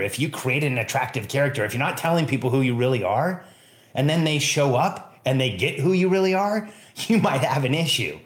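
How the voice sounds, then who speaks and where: Man, born in Mexico, business coach, earnest, direct, repeats phrases, roomy echo. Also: mid-range voice. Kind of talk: motivational monologue.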